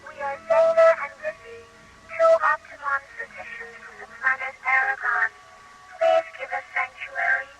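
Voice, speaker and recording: An extremely high-pitched, frightened alien physician from a radio space drama. The voice swoops widely as it pleads in formal, urgent phrases, with clear music underneath. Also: big pitch swings, normal speed, long pauses, lo-fi sound. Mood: urgent pleading.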